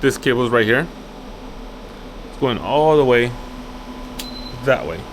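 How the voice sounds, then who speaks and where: A man talks through a technical install in an unhurried way, thinking aloud and repeating himself as he instructs. His pitch is average, and a faint noise runs behind him indoors. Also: animated, poor audio. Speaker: access control installer and video host. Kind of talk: hands-on walkthrough, unscripted explaining. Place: indoors at an office job site.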